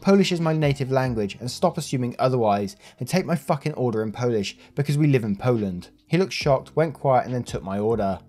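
A man reading Reddit posts aloud in a steady, plain way and adding casual remarks to his listeners. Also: medium pitch, a little fast, few pauses, soft background music. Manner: plain, steady reading with brief laughs and chatty sign-offs. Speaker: Reddit story narrator.

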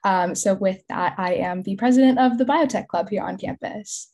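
A woman's voice: mid-range voice, normal speed, warm tone. A woman of about twenty with a Californian American accent, answering as a student panelist in an informal way. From California, United States. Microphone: video call.

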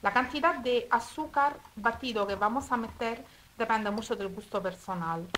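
An Italian woman with an Italian accent speaking Spanish as a second language, demonstrating a recipe step by step at home in a careful, slightly halting way. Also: fairly high pitch, fairly bright tone, slight background noise, low-quality recording. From Italy. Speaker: cooking video host. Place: home kitchen table.

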